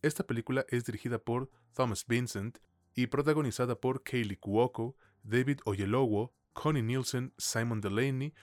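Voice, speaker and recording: A man's voice: mid-range voice, normal speed, darkish tone, no room sound. A man with a Mexican accent co-hosting a film podcast, chatting casually in informal, slangy, mildly profane speech. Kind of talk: casual film review chat.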